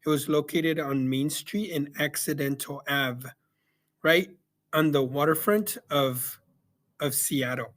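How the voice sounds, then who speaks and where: A young man talks through a book review in a relaxed, unscripted way. His voice sits a little high for a man. He often stops to say um and restarts his sentences as he works out what to say next. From El Salvador. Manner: hesitant, many ums and restarts. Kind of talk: casual spoken book review. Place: Yakima, Washington.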